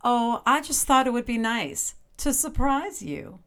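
A slow-talking, possessive witch wife. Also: a little high, lively intonation, a bit bright. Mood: coy, teasing. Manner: commanding, teasing, coolly menacing. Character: possessive, sly witch wife, imperious and teasing. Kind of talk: old-time radio drama acting.

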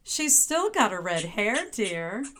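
A slow-talking, possessive witch wife. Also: mid-range voice, very animated, bright, forceful tone, a little hiss or hum, heavily acted. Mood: sly, menacing. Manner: commanding, teasing, coolly menacing. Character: possessive, sly witch wife, imperious and teasing. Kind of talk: old-time radio drama acting.

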